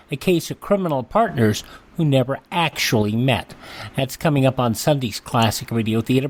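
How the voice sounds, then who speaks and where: A man hosting a classic radio program, reading the news and the show introductions in a steady, announcer-like manner. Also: a little high. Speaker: radio host.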